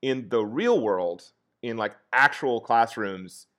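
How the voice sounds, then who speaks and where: A man's voice: medium pitch, expressive, slow, fairly bright tone. A man who teaches history, speaking as a podcast guest in an earnest, reflective way with a soothing voice. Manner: earnest and reflective, many restarts and fillers. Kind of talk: casual interview conversation.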